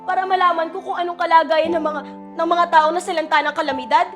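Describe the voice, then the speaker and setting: A woman's voice: very high voice, steady tone, quick pace. A young woman with a Filipino accent acting a bubbly, self-absorbed livestreamer on a school stage, exclaiming in breezy Taglish slang and easily flustered. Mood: bewildered. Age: teens to young adult. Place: stage of a school play festival. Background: loud music.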